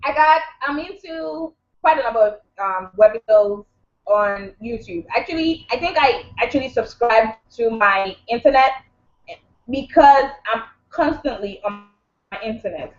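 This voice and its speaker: A woman's voice: on the high side, expressive, measured pace. Chatty woman in an echoey room. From Nigeria. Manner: chatty, rambling, joking. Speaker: co-host. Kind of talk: casual chat. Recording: echoey room, dull lo-fi sound.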